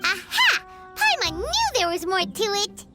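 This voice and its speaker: A woman's voice: very high-pitched, huge pitch swings, unhurried, over loud music, full character voice. A woman voicing a tiny, childlike fairy companion in a video game, exclamatory and cheeky. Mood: excited, eager. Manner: exclamatory, cheeky, speaks of self in third person. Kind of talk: animated video game voice acting.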